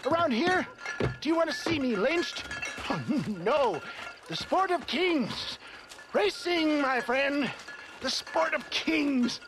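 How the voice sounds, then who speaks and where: A man's voice: very high-pitched, lively intonation, slow pace, exaggerated voice. A man playing a pompous, wheedling older snake-oil salesman in a video game, speaking in a florid, theatrical, genteel manner. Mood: theatrical, scheming. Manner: florid, pompous, hesitating for effect. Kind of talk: video game cutscene acting.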